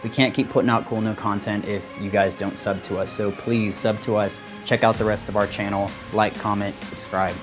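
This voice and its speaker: A man's voice: fairly low pitch, little pitch movement, moderate pace, hardly any pauses, over music. A man hosting a casual shop product video with upbeat, informal sales patter. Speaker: YouTube host for an audio-video shop.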